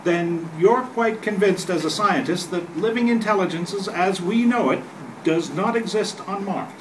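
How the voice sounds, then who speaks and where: A man's voice: high voice, unhurried. An older man playing an earnest radio commentator reporting live, reading a 1930s radio play from a script. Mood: earnest, formal. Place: hotel meeting room at a convention panel. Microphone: camera across the table. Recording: distant camera mic, clearly noisy room.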